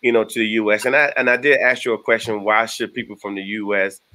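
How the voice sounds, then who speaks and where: Casting: middle-aged American male host, lowish pitch, bright forceful tone, stammers. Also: little pitch movement, quick pace. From United States. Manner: earnest, stammering restarts. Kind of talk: casual video-call interview. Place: indoor room. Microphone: video call.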